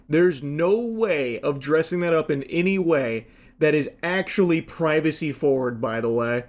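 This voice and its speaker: A man delivering scripted commentary in a measured, tentative way as he explains an online service. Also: fairly high pitch, darkish tone. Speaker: video commentator.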